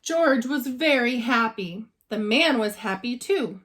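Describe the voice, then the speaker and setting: High, lively woman reading a storybook. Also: slow pace. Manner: expressive, dramatizing for young listeners. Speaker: teacher reading aloud. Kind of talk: children's storybook read-aloud narration.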